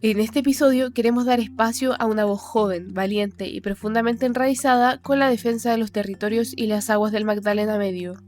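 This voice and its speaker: A young woman hosts a podcast and reads a scripted introduction in an earnest, steady way, posing rhetorical questions. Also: on the high side, a little fast, hardly any pauses, soft background music. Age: young adult. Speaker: podcast host. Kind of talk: scripted narration.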